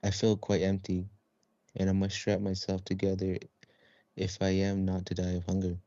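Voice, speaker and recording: A depressed, starving storybook man, read by a male narrator, complains in old-fashioned words. His voice is low and dark, in a dull, close-dry recording. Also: completely flat delivery, moderate pace, no echo. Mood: gloomy.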